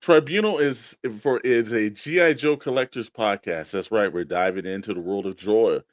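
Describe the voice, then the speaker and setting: A man's voice: on the low side, normal speed, dry recording. A man co-hosting a toy collectors' show, bantering casually and plugging programs with emphatic hype. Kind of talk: casual radio-style show banter and plugs.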